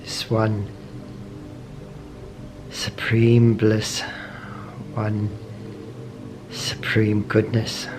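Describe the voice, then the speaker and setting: A man leading a guided meditation in a gentle, measured way, pausing often between short phrases. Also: fairly low pitch, monotone, rough recording. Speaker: meditation teacher. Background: soft meditation music.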